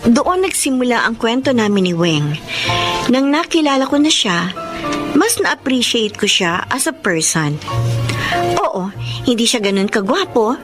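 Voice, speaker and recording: A woman's voice: fairly high pitch, sing-song, a little slow, long pauses, music in the background. An actress with a Filipino accent playing a feisty young woman standing firm, with emphatic radio drama delivery. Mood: reflective. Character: feisty young woman firmly rejecting her clingy ex.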